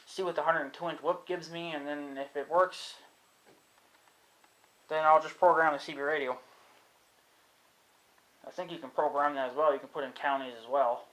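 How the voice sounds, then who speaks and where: A man's voice: fairly high pitch, steady tone, moderate pace, long pauses, fairly bright tone, poor audio. A young man, thinking aloud in a casual vlog, unsure of himself and swearing freely. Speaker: vlogger. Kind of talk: rambling casual talk to camera.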